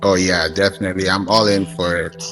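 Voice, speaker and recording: A man's voice: low-pitched, little pitch movement, brisk, a bit bright, faint music behind. A man who grew up in Kenya hosting a live audio room, welcoming listeners and thanking them often. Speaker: host.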